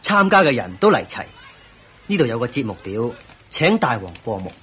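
A man's voice: medium pitch, very animated, normal speed, a bit bright, a little hiss or hum. A man with a Hong Kong Cantonese accent playing a loyal, respectful martial arts master at court in a radio drama, formal and earnest. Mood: deferential, formal.

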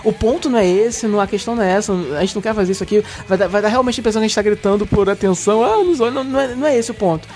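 A man's voice: high-pitched, racing, hardly any pauses, darkish tone. A man from Brazil co-hosting a casual podcast, talking in long rambling runs with stammered restarts. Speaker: podcast co-host.

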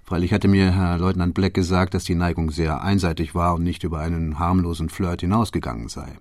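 An actor playing a shrewd detective in a German radio play, laying out his case in a deliberate, formal and precise manner. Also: low voice, steady tone, darkish tone, no echo, natural voice. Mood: methodical, assured. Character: shrewd, methodical male detective recounting his solved case.

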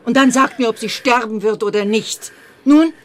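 An actress playing a resolute, insistent medieval midwife who commands yet stays deferential, in a German radio drama. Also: female voice, fairly high pitch, normal speed, close to an everyday voice. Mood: urgent pleading. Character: urgent, resolute medieval village midwife, plain-spoken servant woman.

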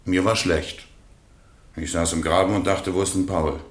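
An actor playing an evasive working-class witness in a radio courtroom drama, offhand, terse and defensive. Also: male voice, deep voice, steady tone, normal speed, natural voice. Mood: matter-of-fact. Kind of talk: radio play acting. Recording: roomy courtroom echo.

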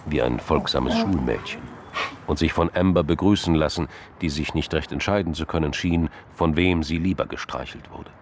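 A man narrating a scripted crime radio drama in measured, dramatic literary phrases with pauses. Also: very deep voice, slight background noise.

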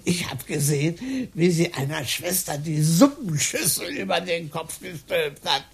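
An actress playing a confused, forgetful old woman in a psychiatric ward, rambling in a creaky, breathy voice in a radio play. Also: sits low, lively intonation, a little slow, full character voice. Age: older. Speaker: patient.

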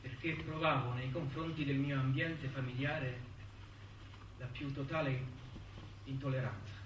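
An actor playing a wounded young man committed to an asylum by his family, speaking in deliberate, theatrical phrases with pauses. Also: medium pitch, fairly even intonation, slight background noise, lightly acted. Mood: restrained, defiant. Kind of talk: stage monologue acting. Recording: roomy distant stage recording with music. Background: music.

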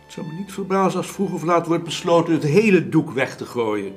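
A man's voice: on the high side, lively intonation, fairly quick, faint music behind, lightly acted. Male actor as eloquent period councillor, formal, emphatic, swooping intonation, roomy radio drama sound. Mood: confiding scorn. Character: eloquent gentleman councillor defending art, scornful of his peers. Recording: roomy, echoing studio sound.

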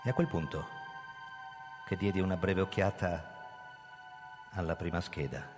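Proud, low-voiced veteran police commissioner. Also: male voice, measured pace. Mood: grave, troubled. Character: proud, guarded veteran police commissioner narrating his story. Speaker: actor narrating and playing the lead. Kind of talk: live radio drama reading with music. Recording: reverberant hall with music behind. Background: music.

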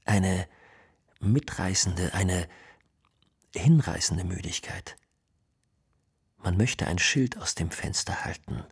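An actor playing a tired, detached man in a radio play monologue, speaking deliberately with pauses between words in a very husky, breathy voice. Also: low-pitched, expressive, full character voice. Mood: weary, reflective. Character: tired, detached male observer musing on fatigue.

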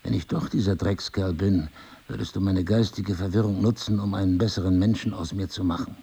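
Casting: sardonic, suspicious amnesiac husband for audio drama, gravelly, mellow-dark delivery, articulate and probing. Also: male voice, low voice, fairly even intonation, a little fast, heavily acted. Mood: suspicious, agitated. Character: brooding amnesiac husband, a sharp-witted, suspicious crime writer. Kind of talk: intimate audio drama dialogue.

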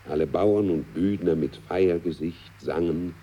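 A man's voice: low voice, a little slow, slightly rough, lo-fi sound. A man reciting a verse ballad in a theatrical, dramatic manner, savouring each line.

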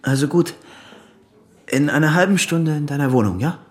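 An actor playing an obsessive, agitated lovesick man with a secret in a radio play, speaking haltingly and intensely. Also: fairly high pitch, over music, close to an everyday voice. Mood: guarded, controlled. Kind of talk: German radio play acting.

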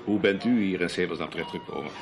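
A man playing a suave, over-courteous gentleman in a radio drama, with florid, drawn-out politeness. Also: low voice, creaky, music in the background. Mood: effusive.

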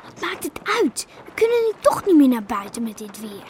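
A woman playing a young twin sister from a fishing family in a radio drama, defensive and anxious, with clear noise around her. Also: on the high side, sing-song, fairly quick, a little mellow.

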